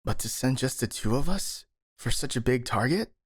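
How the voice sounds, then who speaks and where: A man's voice: medium pitch, expressive, moderate pace, breathy edge, dry recording, clean studio sound. A man playing a skeptical, worrying captured master ninja, sighing and hesitant, in a scripted ASMR audio roleplay. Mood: suspicious.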